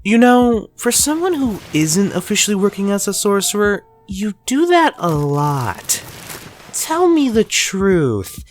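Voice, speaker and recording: A cheerful teenage boy sorcerer teases his friend in a fan audio drama, voiced by a woman. His voice rises and falls with animated swings and takes its time over each line. Faint music plays underneath. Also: medium pitch, a little slow. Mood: teasing. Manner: playful, teasing.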